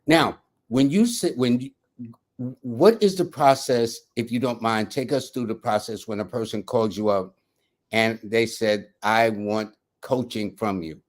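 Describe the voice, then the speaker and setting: Low-voiced man hosting an interview. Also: unhurried. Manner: rambling, conversational questions. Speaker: podcast host. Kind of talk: interview conversation.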